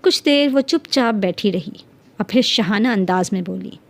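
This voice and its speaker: A woman's voice: medium pitch, animated, normal speed. A woman narrating a scripted fairy tale as a storyteller.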